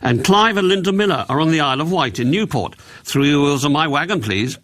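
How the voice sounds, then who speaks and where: A man's voice: on the high side, animated, brisk, fairly bright tone. English man in his sixties hosting radio. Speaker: radio host. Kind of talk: radio request show chat, reading out listeners' messages.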